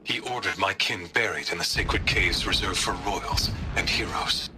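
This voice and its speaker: A solemn grieving warrior. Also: male voice, low voice, animated, normal speed, background noise. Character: grieving stern warrior widower recording a memory. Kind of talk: game voice acting, recorded monologue.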